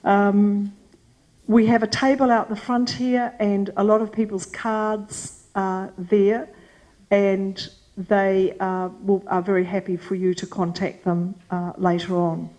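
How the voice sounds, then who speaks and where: A middle-aged woman with a New Zealand accent chairing a community forum, speaking in a loud, teacherly voice with a bossy edge and lots of casual fillers. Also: mid-range voice, little pitch movement, slow pace, rough recording. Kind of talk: welcoming remarks at a community forum. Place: meeting hall with a large audience.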